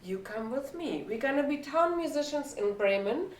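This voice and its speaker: A woman's voice: high-pitched, expressive, normal speed, roomy sound, recorded from a distance, slight background noise. A woman voicing a confident, encouraging male donkey who leads his friends in a storybook tale. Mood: reassuring.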